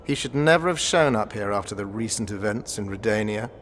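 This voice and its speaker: An actor playing a scornful, gossipy courtier in a fantasy video game, sneering in a conversational way. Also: male voice, on the low side, lively intonation, brisk, a bit bright, slight background noise.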